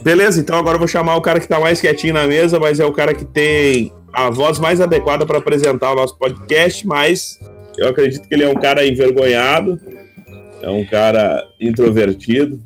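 A man's voice: on the high side, steady tone, a little fast, soft background music. A man hosting a casual podcast, introducing guests with jokes and teasing. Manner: jokey, teasing. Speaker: podcast host.

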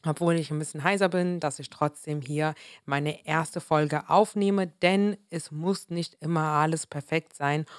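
A young woman in her twenties talks in a slightly hoarse, lowish voice, earnest and emphatic as she hosts her own podcast. The sound is dry and close, with no room around it. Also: no echo. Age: 20s. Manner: earnest, emphatic, mixes in English words. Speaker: podcast host. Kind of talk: motivational solo podcast.

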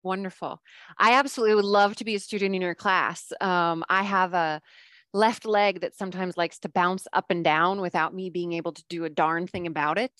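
A woman's voice: lowish voice, fairly even intonation, fairly quick, a bit bright, dry recording, studio quality. A woman hosting a podcast interview, chatty and encouraging as she responds to her guest. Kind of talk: conversational interview.

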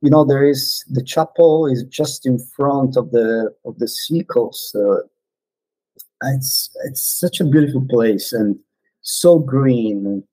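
Man, non-native english speaker, mellow tone, dry close sound, hesitant with fillers. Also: medium pitch, takes it slowly, no echo, rough recording. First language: not English. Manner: hesitant, many fillers and restarts. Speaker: podcast guest, former missionary. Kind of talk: casual reminiscing interview.

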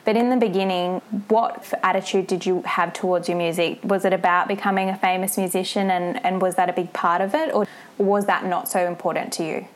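A woman's voice: on the low side, fairly even intonation, a little fast. A woman with an Australian accent, speaking as a podcast host introducing and interviewing a guest.

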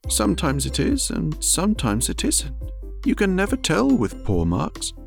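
A man voicing a slow, earnest, muddled male teddy bear in a storybook reading, speaking in a ponderous, mysterious, solemnly puzzled way. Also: fairly high pitch, wildly swooping pitch, creaky, faint music behind, pitched above the performer's own voice, exaggerated voice. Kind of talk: storybook character voice.

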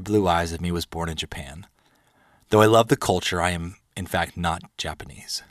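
Low-voiced man in his thirties, earnest storyteller. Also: fairly bright tone, no echo, clean studio sound. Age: 30s. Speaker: podcast host. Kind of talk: personal storytelling monologue, partly scripted.

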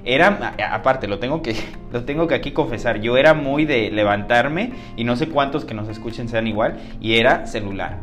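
A man's voice: mid-range voice, moderate pace, few pauses, a bit bright, faint music behind. A man hosting a podcast, talking in a loose, rambling way with many fillers and slang. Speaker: podcast host. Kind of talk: casual conversation.

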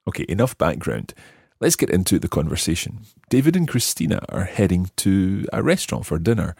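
A man's voice: deep voice, big pitch swings, a little fast, gravelly, darkish tone, slightly rough, no room sound, clean studio sound. A man presenting a language lesson podcast in a conversational, explanatory style.

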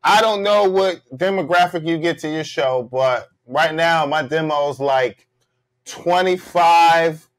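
A man hosting a comedy podcast, talking in a loose, joking, slangy way. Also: a little high. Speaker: podcast host. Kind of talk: casual comedic chat.

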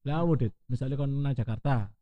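A man's voice: medium pitch, fast talker, highs cut off. A young man asking friends questions in a teasing, casual chat. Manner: teasing, asks questions, laughs. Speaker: chat participant.

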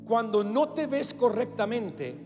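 A man's voice: very high voice, very animated, a little slow, darkish tone, big-room sound, faint music behind. A man from Australia whose first language is English, preaching a sermon with an Australian English accent, emphatic and repetitive, with direct appeals to the listeners.

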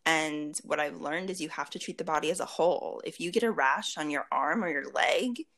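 A woman's voice: low-pitched, normal speed, gravelly, a bit bright. A woman in her late twenties, a guest in a casual interview, talking easily with frequent fillers and small laughs. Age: 20s. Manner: chatty, laughs often, many fillers and restarts. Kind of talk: casual video chat interview.

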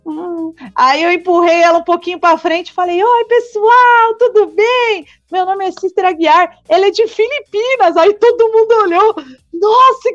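Excitable high-voiced young woman, laughing. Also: lo-fi sound. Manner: excitable, laughing often, slangy. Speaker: podcast guest, returned missionary. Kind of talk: casual interview chat.

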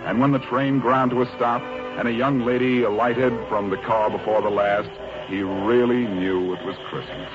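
A man's voice: lowish voice, fairly even intonation, normal speed, music in the background. An American man announcing and narrating in a polished, scripted 1940s radio style. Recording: muffled old radio recording.

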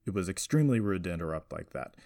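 A man's voice: low voice, very animated, quick pace, a little mellow, no echo, studio quality. A man voicing a stern, haughty old grandfather with a curt, demanding manner, in an audiobook story. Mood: scolding.

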